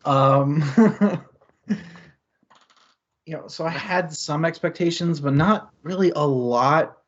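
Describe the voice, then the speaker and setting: A man co-hosting a book club stream, talking casually with plenty of fillers, restarts and chuckles. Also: mid-range voice. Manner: rambling, lots of fillers and restarts, chuckles often. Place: home setup, streamed discussion. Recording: slightly muffled lo-fi stream audio.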